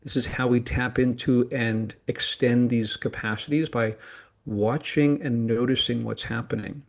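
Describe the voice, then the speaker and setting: Male meditation guide, mid-range voice, calm and deliberate, giving instructions in short, spaced phrases. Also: mid-range voice, vocal fry. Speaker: meditation teacher.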